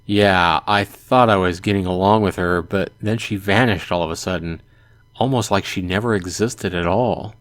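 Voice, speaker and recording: A man's voice: low voice, normal speed, fairly bright tone, no room sound. A man playing an earnest, self-doubting teenage boy in a visual novel, speaking hesitantly. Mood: earnest, urgent. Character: earnest, self-doubting teenage son telling his story.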